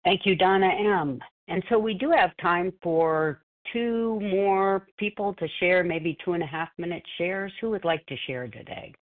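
A woman hosting a phone support meeting in a brisk, businesslike way, calling on speakers. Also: fairly low pitch. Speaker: meeting host. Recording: phone line.

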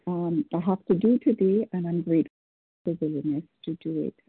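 A woman from Canada, sharing at a phone support meeting in an earnest, hesitant way with many pauses. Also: fairly low pitch, slightly rough, no room sound. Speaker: meeting participant. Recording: phone line.

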